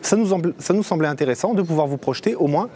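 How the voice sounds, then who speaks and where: A man's voice: on the high side, expressive, fast talker, dark tone. A man presenting a local programme as host and reporter in an unscripted, somewhat hesitant way. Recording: crowd chatter and background noise.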